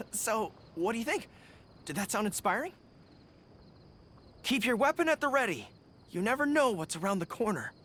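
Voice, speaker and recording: A performer voicing an eager, disciplined young male general with dog ears and a tail in a video game, with a brisk military delivery. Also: medium pitch, very animated, a bit bright, a little hiss or hum. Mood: casual, unguarded. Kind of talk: video game character voice lines.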